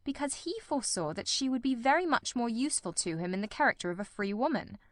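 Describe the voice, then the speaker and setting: A woman playing a calm, incisive male detective who explains his deductions in a measured, assured way in a dramatised reading. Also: on the high side, no room sound, studio quality. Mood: calm, analytical. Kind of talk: audiobook-style dramatised reading.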